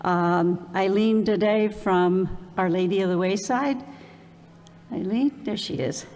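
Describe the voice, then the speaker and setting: A woman hosting an event, reading an introduction slowly and deliberately with ums. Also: medium pitch, darkish tone. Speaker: event host introducing the speaker. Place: parish hall or church. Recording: long reverb of a church hall.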